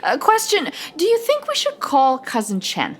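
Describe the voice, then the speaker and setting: An actress playing a giddy, anxious, sarcastic young Chinese American woman in a comedic audio play, with an American accent and an excitable, slangy manner. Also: high voice, normal speed. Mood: giddy, teasing. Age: young adult. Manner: excitable, nervous giggling, slangy.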